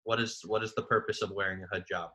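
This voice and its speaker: Young man with a bright, fairly low voice. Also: fairly even intonation. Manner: curious, friendly questions, quick filler-laden delivery. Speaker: podcast host. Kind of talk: casual interview chat.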